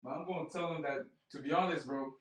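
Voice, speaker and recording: A man's voice: fairly high pitch, brisk, fairly bright tone, breathy edge. A man from the United States in casual, slangy video-chat talk, often repeating his phrases. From Charleston, United States. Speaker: stranger on a random video chat.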